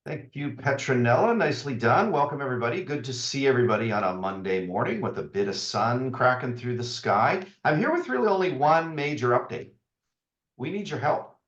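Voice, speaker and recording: A man's voice: medium pitch, lively intonation, a little fast, a bit bright. A man, the CEO, giving an informal welcome address with frequent fillers and restarts. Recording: roomy, dull lo-fi sound.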